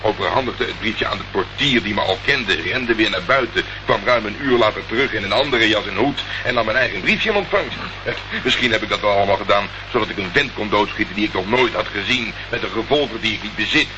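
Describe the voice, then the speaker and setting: An actor playing a dry, sardonic private investigator in a Dutch radio play, deadpan and unruffled. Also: male voice, fairly low pitch, quick pace, hardly any pauses, lightly acted. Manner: sarcastic, deadpan. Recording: muffled, noisy old radio recording.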